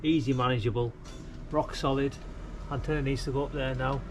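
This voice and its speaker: A man's voice: mid-range voice, fairly even intonation, brisk. A middle-aged man chatting casually and rambling as he thinks aloud through a DIY job outdoors. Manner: rambling, laid-back, colloquial, thinking aloud. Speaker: amateur radio hobbyist filming a DIY video. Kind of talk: casual chat while demonstrating DIY. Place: outdoors beside a brick house wall. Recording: noisy outdoor recording with ambient traffic.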